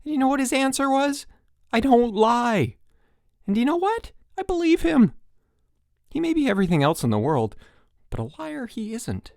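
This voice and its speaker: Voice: mid-range voice, huge pitch swings, brisk, vocal fry, a little mellow, no echo, clean studio sound, pitched above the performer's own voice. A narrator voicing an exasperated, sarcastic young male camp counselor in a storybook reading, worked up and growly.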